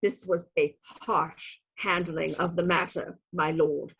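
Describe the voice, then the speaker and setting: A woman's voice: lowish voice, little pitch movement, takes it slowly, creaky, a bit bright, husky, exaggerated voice, rough recording. A woman playing a stern, devout churchwoman in a radio play, prim and reproving. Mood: grave, protective. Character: stern, devout female churchwoman running an orphanage.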